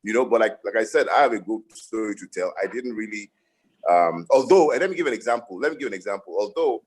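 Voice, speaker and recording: A man's voice: lowish voice, expressive, fast, breathy edge. A middle-aged man from Nigeria, a lawyer speaking on a panel and telling reflective stories with frequent restarts. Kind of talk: panel discussion.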